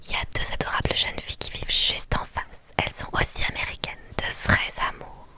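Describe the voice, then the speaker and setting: A woman's voice: fairly quick, natural voice. A woman voicing a kindly, courteous elderly male bookseller from a story game in a whisper. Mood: warm, welcoming.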